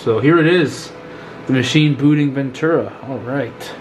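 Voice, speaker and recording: A man with a congested, stuffy-nosed voice talks through a hardware project in an easygoing vlog manner. He is mid-pitched and chatty, often pausing on an um or correcting himself. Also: noisy background. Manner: relaxed and chatty, with frequent ums and self-corrections. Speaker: YouTube tech vlogger. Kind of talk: casual hands-on tech vlog narration.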